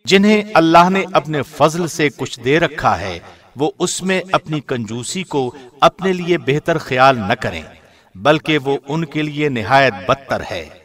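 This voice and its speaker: A man giving a formal, scripted reading of a scripture translation in a measured, solemn manner. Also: on the high side, expressive, a bit bright. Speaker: translation reader, radio host.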